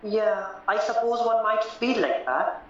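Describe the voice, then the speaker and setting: A man acting a courteous, formal solicitor in a radio play, deliberate and a little hesitant. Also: high voice, a little hiss or hum. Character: courteous, measured English male solicitor, formal and reassuring. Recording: roomy, distant, muffled, music underneath.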